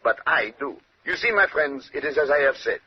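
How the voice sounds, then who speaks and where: An actor playing a brilliant, self-assured Belgian detective with a French-speaking accent, speaking precisely and courteously in old-time radio drama style. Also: male voice, fairly high pitch, expressive, a little fast, close to an everyday voice. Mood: courtly, sly. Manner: precise, lecturing, punctuates points with questioning "eh?". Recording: dull, noisy old radio recording.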